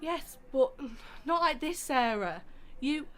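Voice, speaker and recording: A woman's voice: sits high, lively intonation, slow pace. A woman playing a frightened, guilt-ridden, protective sister in an audio drama, pleading emphatically. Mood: guilty, upset. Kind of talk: dramatic audio scene acting. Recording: clear background noise.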